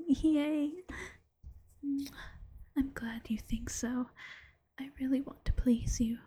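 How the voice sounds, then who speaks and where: A woman voicing an affectionate, teasing bunny-girl girlfriend in a slow, coaxing ASMR whisper. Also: high voice, fairly even intonation, dark tone, breathy edge, full character voice. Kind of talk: ASMR roleplay.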